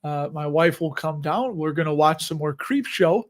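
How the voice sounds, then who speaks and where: A man's voice: fairly high pitch, lively intonation, moderate pace. A middle-aged man with a Chicago accent hosts a casual investing livestream, answering viewer questions in a rambling, chatty way and laughing at his own asides. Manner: rambling and chatty, with frequent restarts and self-amused laughs. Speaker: host of a dividend investing livestream.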